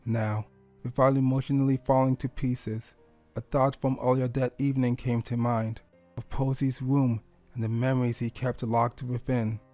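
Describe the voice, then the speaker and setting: Mellow, even-toned male story narrator. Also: mid-range voice, faint music behind. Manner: solemn, measured, deliberate. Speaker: audio story reader. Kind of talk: scripted narration of a sad fan fiction.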